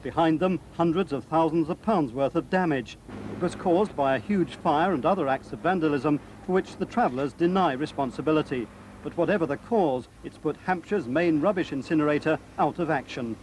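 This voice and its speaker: Higher-pitched British male news reporter. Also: fairly quick, darkish tone, slight background noise. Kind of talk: scripted report narration.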